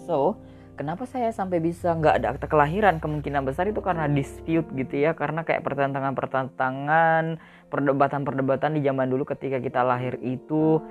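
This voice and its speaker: A man's voice: medium pitch, narrow, tinny audio. Chatty young man telling his life story. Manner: chatty, many fillers, mixes in English words. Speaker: podcast host. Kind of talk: casual motivational storytelling monologue. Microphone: audio podcast recording.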